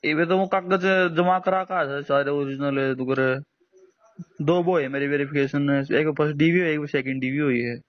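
A man's voice: fairly high pitch, steady tone, moderate pace. A man with a Haryanvi accent in a casual phone conversation, talking deferentially and often agreeing respectfully. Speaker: citizen, job applicant on a phone call. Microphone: phone call recording. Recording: dull lo-fi recorded phone call.

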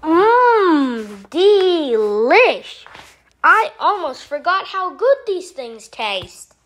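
High-pitched fussy storybook frog played by a woman, theatrical, slow delivery, dramatic, easily horrified. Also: big pitch swings, fairly bright tone, full character voice. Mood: content. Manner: theatrical, exclaiming. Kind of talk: children's storybook read-aloud.

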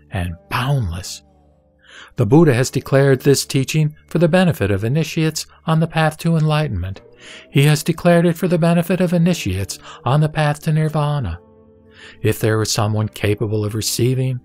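A man playing the Buddha as a serene, solemn teacher, reciting scripture in measured, deliberate phrases for an audio drama. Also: medium pitch, darkish tone, close to an everyday voice. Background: music.

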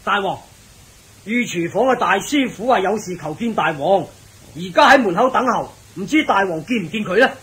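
A dutiful captain of the royal guard in a radio drama. His voice is notably high for a man. He speaks like an official, yet casually, drawing out his interjections. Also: expressive, moderate pace, fairly bright tone. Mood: respectful. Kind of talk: Cantonese radio drama acting.

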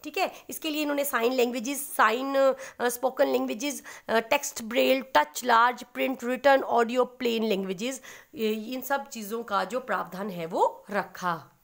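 A young woman teacher explaining handwritten notes, mixing Hindi and English, reading dates slowly with many fillers. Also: a little high, steady tone, creaky, a bit bright. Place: indoors at a desk. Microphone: phone camera over notebook.

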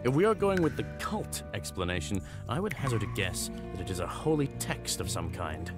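An actor playing a dutiful, sensible knight retainer in a fantasy game, speaking formally and courteously with a dry manner. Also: male voice, on the low side, sing-song, a little fast, over music. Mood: dry, thoughtful. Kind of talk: fantasy video game voice acting.

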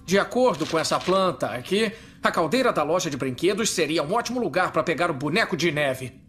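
A confident young team leader with a Brazilian accent. He is earnest and take-charge, lively in pitch like a cartoon hero, with loud music underneath. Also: a little fast. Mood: thoughtful. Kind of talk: dubbed cartoon acting.

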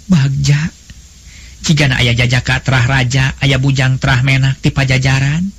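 A man's voice: mid-range voice, level tone, moderate pace, fairly bright tone, breathy edge, voice pushed up. A man voicing an impatient, jealous young noblewoman, a demanding younger sister with a Sundanese accent, in a storybook tale. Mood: eager, curious. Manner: eager, demanding.

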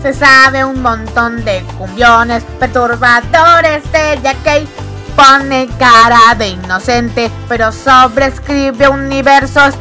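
A woman sings a parody song in a very high, bright voice. The delivery is slow, with light music playing behind her. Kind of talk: sung parody of a cartoon song.

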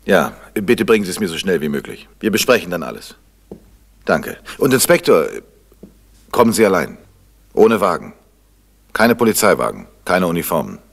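An actor playing a composed, worried middle-aged gentleman sleuth in a crime radio play, speaking with controlled insistence. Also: low-pitched, sing-song, normal speed, gravelly, long pauses, heavily acted. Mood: brisk, serious. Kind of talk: 1970s German crime radio play acting.

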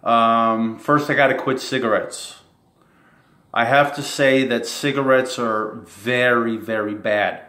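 Man, mid-pitched voice, rambling and halting delivery, chuckles, casual fan address, echoey room sound. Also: steady tone, bright, forceful tone. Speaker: self-described model. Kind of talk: casual monologue to camera. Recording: roomy echo.

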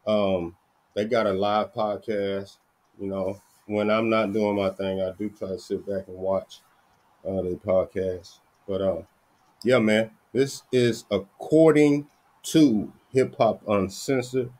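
A middle-aged man from the Dallas area hosts an entertainment news stream from a small room, speaking through a webcam mic with headphones on. His voice sits a little low, and his delivery is relaxed and conversational, full of "man" and "you know what I'm saying.". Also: takes it slowly. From Dallas–Fort Worth area, Texas. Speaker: host of entertainment news channel. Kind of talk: casual news chat to viewers.

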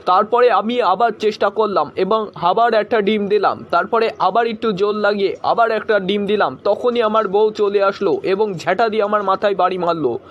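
A man's voice: high voice, normal speed, hardly any pauses, natural voice. A man voicing a hapless cartoon husband and friend who spins explanatory tales in a comic skit, in dull lo-fi audio. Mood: earnest storytelling. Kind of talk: cartoon joke skit dialogue.

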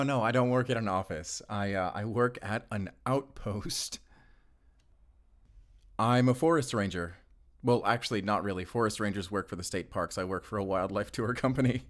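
A man's voice: on the low side, lightly acted. Man playing friendly, bashful forest ranger dad. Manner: chatty, gently teasing, chuckles often. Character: friendly, bashful male wildlife-tour forest ranger and doting father. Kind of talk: intimate audio roleplay acting.

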